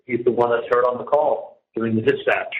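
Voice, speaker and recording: A man's voice: mid-range voice, steady tone, brisk. A man venting in a casual phone conversation, exasperated and repetitive as he recounts things at length from prison. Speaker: prison inmate on a phone call. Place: prison, on a recorded phone line. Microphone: telephone handset. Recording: dull echoey phone call, faint noise.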